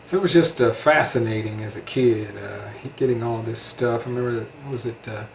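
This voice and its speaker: American man, middle-aged, casual interviewee reminiscing, mid-range pitch, echoey room, light noise. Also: a little fast. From United States. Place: indoor room at a home. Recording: roomy echo, faint background noise.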